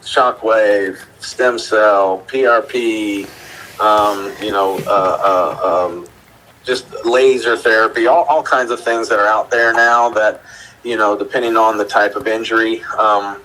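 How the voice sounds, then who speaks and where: A man giving a casual interview as a veterinarian guest, talking in a relaxed, rambling way with many fillers. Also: lowish voice, measured pace, poor audio.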